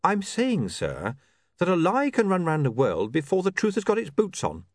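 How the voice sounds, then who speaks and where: A man's voice: high-pitched, wildly swooping pitch, normal speed, no echo, studio quality, voice pushed up, exaggerated voice. A man voicing a polite, stubborn young male reporter in a storybook, deferential yet persistent. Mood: earnest.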